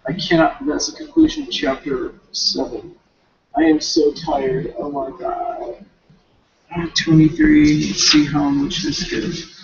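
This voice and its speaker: A man giving a casual, rambling recap as a student, talking half to himself in informal, slangy, halting phrases with self-corrections on a dull home recording. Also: a little high, big pitch swings, gravelly, crowd noise. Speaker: student reading a novel for a class paper.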